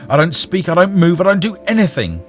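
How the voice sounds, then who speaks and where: A sulky ten-year-old storybook boy, acted out by a man. He grumbles and whines in a voice lifted above the reader's natural pitch, with animated swings and faint music behind. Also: a little fast. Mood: upset, whining. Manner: gruff, grumbling, whiny. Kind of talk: storybook character voice.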